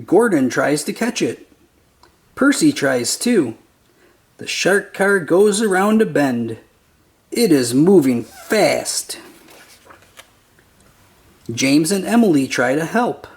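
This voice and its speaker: A narrator reading a children's picture book aloud in short, simple storytelling phrases. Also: medium pitch.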